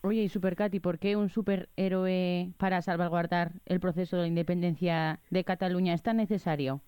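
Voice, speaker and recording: Smooth, mellow-voiced woman interviewer. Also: fairly low pitch, steady tone, brisk, no room sound. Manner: plain, direct questioning. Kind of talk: interview questions.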